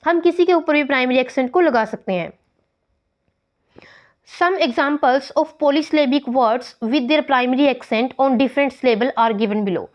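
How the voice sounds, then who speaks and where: An Indian woman teaching English grammar for exam prep. She reads English text slowly and explains it in quick Hindi, switching between the two languages. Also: sits high.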